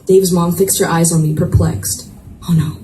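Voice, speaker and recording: Expressive woman narrator in an echoey room. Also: lowish voice, moderate pace. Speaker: reader of a romance novel. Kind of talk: expressive first-person scripted narration, read live. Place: room at a live school reading event. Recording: echoey room, distant mic, noisy ambience.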